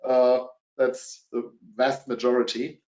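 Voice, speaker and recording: A middle-aged man with a German accent, presenting a business webinar and pausing often on filler sounds. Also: mid-range voice, little pitch movement, a little mellow. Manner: hesitant, many fillers. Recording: dull, roomy webinar audio.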